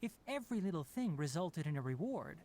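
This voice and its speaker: An actor playing a calm, scholarly young forest ranger with fox ears, measured and lecturing in a game. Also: male voice, a little high. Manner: measured, lecturing, gently dry. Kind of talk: game voice acting.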